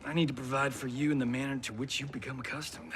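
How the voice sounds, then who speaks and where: A man's voice: mid-range voice, fairly even intonation, quick pace, noisy background, lightly acted. An actor voicing a vain male action-movie star and father who talks in a breezy, joking way. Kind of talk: video game cutscene acting.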